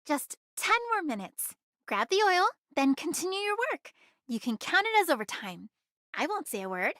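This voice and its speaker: A woman voicing a bold, mischievous young girl in an anime video game, with a perky, expressive delivery. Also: sits high, moderate pace, no room sound, clean studio sound. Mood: confident, casual.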